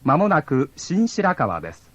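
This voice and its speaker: A man's voice: a little high, huge pitch swings, brisk, no room sound. A man reading scripted onboard train announcements in a formal, polite way. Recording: dull lo-fi old cassette tape.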